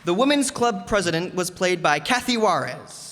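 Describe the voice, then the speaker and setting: A man's voice: sits high, expressive, normal speed. A man announcing cast credits in old-time radio style to an audience in a town hall. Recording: echoey hall, crowd, noisy background.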